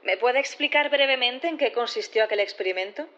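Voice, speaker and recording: An actress in an audio drama playing a composed prosecutor who questions formally, politely and methodically. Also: female voice, a little high, fairly quick, fairly bright tone, muffled recording, lightly acted. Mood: probing.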